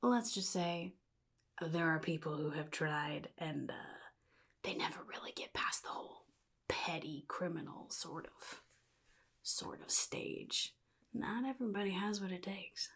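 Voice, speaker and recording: A woman voicing a chaotic, jittery male clown supervillain in soft-spoken ASMR roleplay, half-whispering and rambling in halting, sly phrases. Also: lowish voice, lively intonation, full character voice. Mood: rambling, teasing. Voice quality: breathy, gravelly, half-whispered. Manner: halting, rambling, sly, addresses listener as kid.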